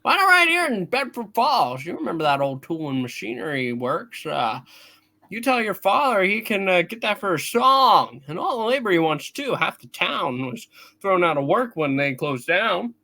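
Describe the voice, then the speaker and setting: A woman's voice: fairly low pitch, very animated, sharp tone, exaggerated voice. A woman playing a flustered, stubborn young man who stammers, in a hammed-up amateur radio play reading. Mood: hesitant, defensive. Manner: stammering, halting, blustery.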